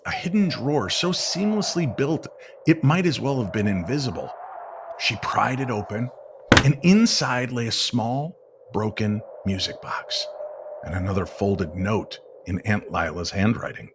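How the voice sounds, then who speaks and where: A man narrating a scripted mystery story in a nostalgic old-time radio style, with measured, theatrical phrasing. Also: on the low side, expressive, background noise. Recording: music playing behind the voice. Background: music.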